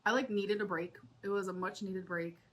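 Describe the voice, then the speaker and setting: A woman's voice: medium pitch, steady tone, moderate pace. A woman vlogger chatting casually while she does her makeup, rambling and full of likes. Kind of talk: casual makeup chat vlog.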